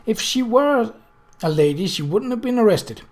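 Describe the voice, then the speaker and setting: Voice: medium pitch, lively intonation, moderate pace, darkish tone, pitched above the performer's own voice, close to an everyday voice. A reader voicing a young servant girl who is firm, emphatic and sure of herself in a story. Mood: triumphant.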